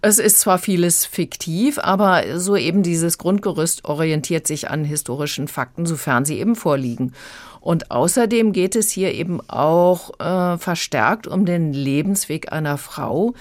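A woman's voice: on the low side, measured pace, dry recording. A woman giving a conversational audiobook review as a critic.

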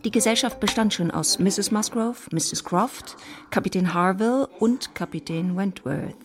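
A woman narrating a German literary radio play in a composed, measured storytelling manner. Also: mid-range voice, soft background music.

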